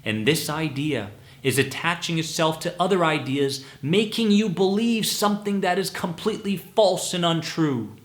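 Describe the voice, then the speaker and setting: A man giving a motivational talk as an anxiety coach, emphatic and deliberate, repeating words for stress. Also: fairly high pitch, echoey room.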